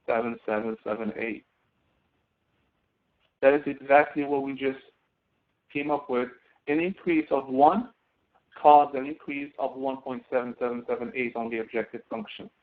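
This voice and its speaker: A man instructing in a screen-recorded tutorial, explaining step by step and thinking aloud as he works. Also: mid-range voice. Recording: tinny phone-like narrow-band audio.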